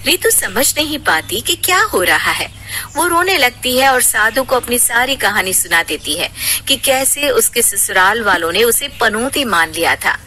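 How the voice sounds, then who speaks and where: Bright, expressive female story narrator. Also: on the high side, normal speed, a little hiss or hum. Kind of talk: scripted cartoon moral-story narration.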